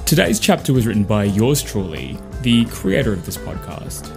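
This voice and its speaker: A man's voice: fairly low pitch, very animated, moderate pace, vocal fry. A young man with an Australian accent reading a scripted podcast outro as the show's host. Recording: guitar music behind voice.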